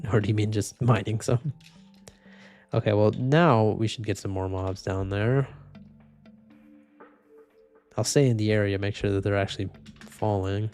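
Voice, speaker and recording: A young man casually chatting with viewers while playing a game, speaking in a flat, low-energy way with a slightly congested voice. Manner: flat, low-energy, self-described boring voice. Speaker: streamer reading chat. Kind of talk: casual gaming livestream chat. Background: faint game music and keyboard typing.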